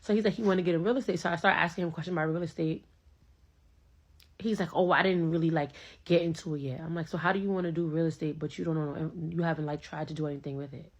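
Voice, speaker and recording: A woman's voice: low voice, fast. A young woman telling a date story in a casual, slangy way, acting out both sides of the conversation. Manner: animated, slangy, acts out both sides of dialogue.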